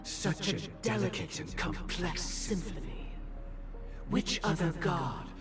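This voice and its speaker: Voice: mid-range voice, expressive, takes it slowly. An actor playing a solemn, grandly serene otherworldly dream-lord with veiled menace in a video game, stately and formal, in echoing reverb. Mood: solemn, lofty. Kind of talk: scripted video game voice acting. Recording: distant, echoing voice under loud music.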